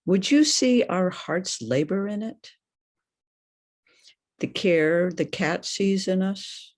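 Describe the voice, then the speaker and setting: Older lady reading a poem, takes her time, deliberate, questioning lines. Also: fairly low pitch, expressive, a little mellow. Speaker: poet. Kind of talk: poetry reading.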